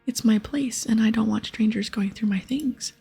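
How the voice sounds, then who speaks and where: A grumpy, suspicious old man acted out by a woman grumbles in a very breathy whisper. The delivery is hesitant and huffy, with some fry, in ASMR game style over soft music. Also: a little high, moderate pace, exaggerated voice. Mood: huffy, defensive.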